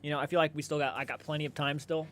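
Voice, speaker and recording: A man with a slightly high voice hosts a casual livestream. He talks in a laid-back, conversational way, reading out comments and replying to them, and swears freely as he goes. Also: level tone, racing, a bit bright, slight background noise. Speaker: livestream host.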